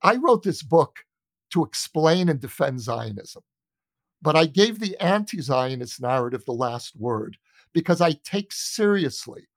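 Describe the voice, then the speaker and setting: A man's voice: a little high, very animated, measured pace, no room sound. Emphatic man, dry close sound. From United States. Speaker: interview guest, journalist and author. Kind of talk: earnest conversational argument.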